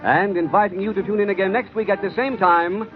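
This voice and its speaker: A man's voice: sits high, steady tone, fairly quick. An American man working as a polished, formal announcer and sponsor spokesman in 1950s radio style. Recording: tinny vintage radio broadcast, faint music.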